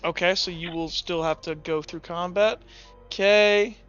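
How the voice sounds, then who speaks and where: A man's voice: sits high, a little slow, smooth. A man speaking as game master in a casual, profane, informal way, narrating tabletop action and making rulings. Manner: profane, informal, animated storytelling. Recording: slightly dull, music playing underneath. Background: background music.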